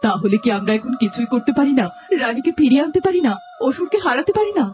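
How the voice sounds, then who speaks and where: A woman's voice: sits high, very animated, fast talker, warm tone, a little hiss or hum. A woman voicing a sorrowful, innocent young daughter in a fairy-tale cartoon, plaintive and questioning. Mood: sad, pleading.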